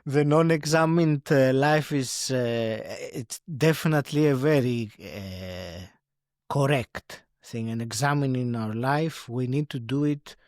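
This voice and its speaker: A man talks through his ideas as a podcast guest, pausing often and restarting his sentences. His voice sits in a middle register, and the recording is dry, with no room sound. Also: medium pitch, a little mellow, dry recording. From Greece. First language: Greek. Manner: hesitant, many fillers and restarts, laughs often. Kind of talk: reflective conversational interview.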